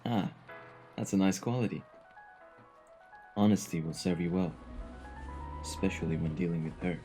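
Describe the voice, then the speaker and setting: A man acting out a very low-voiced gentleman of the mafia for an audio roleplay. He is cultured and courteous, choosing formal, eloquent words in a measured way. A calm menace sits under the politeness, with faint music playing behind him. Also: lightly acted. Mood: gentle, advising.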